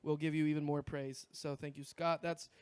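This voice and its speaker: A man's voice: fairly high pitch, flat delivery, normal speed, a little mellow, smooth, no echo. A man from the US Northwest co-hosting a comedy podcast, talking loosely with lots of fillers and restarts. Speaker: podcast co-host. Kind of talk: casual podcast chat.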